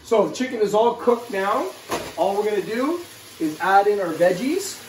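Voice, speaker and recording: Guy in his 40s doing a casual cooking vid, pretty high voice, mic far off in an echoey kitchen. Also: lively intonation, normal speed. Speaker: cooking show host. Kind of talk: casual instructional cooking demonstration to camera. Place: home kitchen, indoors. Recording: roomy, distant mic, faint kitchen noise.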